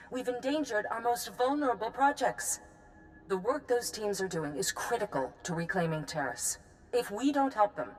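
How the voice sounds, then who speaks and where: A woman playing a determined, formal governor in a video game, earnest and measured. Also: mid-range voice, a little hiss or hum, natural voice, rough recording.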